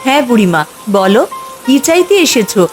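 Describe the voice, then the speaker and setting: A concerned village mother, played by a woman, speaks in a high voice. She is a hard-up cartoon character, heard over clear background music. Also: very animated, normal speed. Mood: polite, resigned. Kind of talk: cartoon fairy tale acting.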